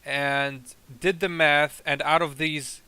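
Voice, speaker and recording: A man's voice: fairly high pitch, flat delivery, takes it slowly, pushed and bright, smooth, no room sound. A young man chatting casually and teasing his friend while explaining their factory in a game. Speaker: co-host playing a factory game. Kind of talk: casual chat over gameplay.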